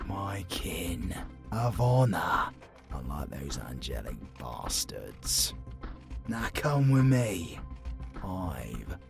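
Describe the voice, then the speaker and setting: A man playing a vengeful, honor-bound demon in a fantasy audio roleplay, theatrical and menacing yet gruffly hospitable. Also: deep voice, sing-song, faint music behind, exaggerated voice. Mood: wry, welcoming. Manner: menacing, theatrical, drawn-out phrasing.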